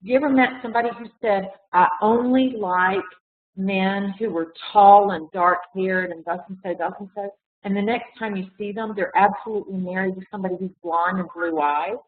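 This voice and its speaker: A woman's voice: mid-range voice, steady tone, normal speed. A woman art collector talking casually in a webinar, rambling with lots of fillers and restarts, and losing her voice. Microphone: video call. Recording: echoey room over tinny video call.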